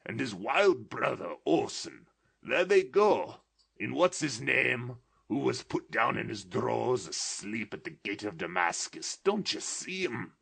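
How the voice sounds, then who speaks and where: A man voicing an old male miser who exclaims in a drawn-out way, between laughing and crying. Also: lowish voice, lively intonation, vocal fry, a bit bright, breathy edge, voice pushed up, full character voice. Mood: ecstatic. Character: old male miser, tearful, wildly excited.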